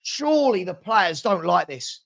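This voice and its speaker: A British man hosting a live football fan stream with a hoarse voice, exasperated and emphatic, repeating himself as he reacts and reads comments. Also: sits high, sing-song, measured pace, a bit bright. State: hoarse, losing his voice. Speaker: host of football watchalong stream.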